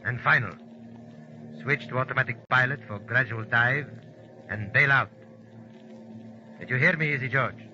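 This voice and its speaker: A man's voice: mid-range voice, normal speed, pauses often, bright, forceful tone. An actor playing a curt male radio operator who gives clipped commands, in 1940s radio acting. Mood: commanding. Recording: muffled old radio broadcast with hiss.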